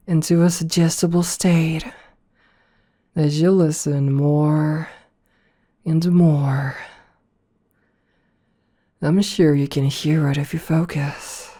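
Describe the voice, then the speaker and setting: A woman playing a possessive, domineering rich CEO in an ASMR roleplay, speaking softly and coaxingly with condescending sweetness, slipping into whispers. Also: sits low, little pitch movement. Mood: hypnotic, coaxing. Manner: slow, soft, breathy coaxing, slipping into whispers.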